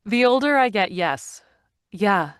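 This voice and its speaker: A woman's voice: mid-range voice, slow, clear, resonant tone, no room sound, clean studio sound. A woman in her forties, an actor and narrator, chatting openly in an interview and laughing often. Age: 40s. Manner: laughs often, animated and open. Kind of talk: casual interview chat.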